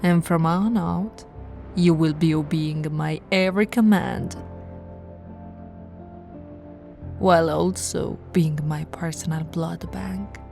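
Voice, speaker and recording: An imperious vampire lady, acted by a woman for an ASMR roleplay, speaks slowly in a lowish voice. She is possessive and condescending, theatrical in her phrasing, and often sinks into a breathy whisper. Soft music plays behind her. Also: full character voice. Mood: smug, possessive. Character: haughty, possessive female immortal vampire aristocrat.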